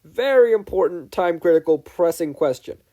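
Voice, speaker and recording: A man plays a big-hearted young handyman in an audiobook story. He is emphatic and playfully grave, pitched well up and swinging in tone. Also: expressive, normal speed, warm tone. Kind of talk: fan fiction audiobook character reading.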